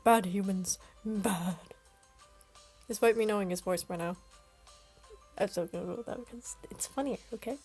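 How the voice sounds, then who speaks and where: A woman's voice: medium pitch, quick pace, darkish tone, soft background music. A woman reading a game story aloud, breaking in with casual, self-deprecating asides. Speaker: story reader and video host. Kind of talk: casual commentary between read lines.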